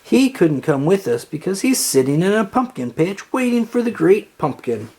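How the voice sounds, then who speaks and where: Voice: medium pitch, big pitch swings, moderate pace, warm tone, slightly rough, voice pushed up. A storybook reader voicing a bossy, exasperated and scornful young girl who speaks emphatically. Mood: embarrassed.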